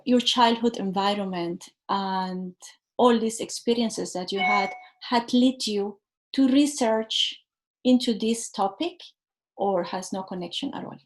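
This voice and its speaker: Higher-voiced woman host asking questions. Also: slow. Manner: hesitant, careful phrasing with fillers. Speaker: interviewer, host. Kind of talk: interview questions.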